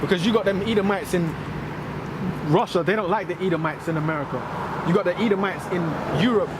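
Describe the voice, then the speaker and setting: A man with a London accent talking in an animated, slangy way in a casual street discussion. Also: sits high, fast talker. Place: outdoors by a road. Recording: outdoor traffic noise.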